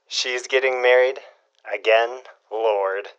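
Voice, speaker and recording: A man's voice: medium pitch, steady tone, slow, fairly bright tone, clear, resonant tone, highs cut off. An actor playing a curious, folksy man with dry, old-fashioned turns of phrase, prying for gossip in a period audio drama. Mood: surprised, prying.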